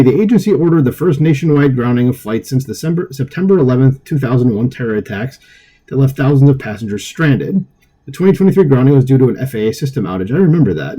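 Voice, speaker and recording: A man's voice: medium pitch, mellow, low-quality recording. A man reading a news article aloud at home and breaking in with sarcastic comments, stumbling over words now and then. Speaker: political commentary host.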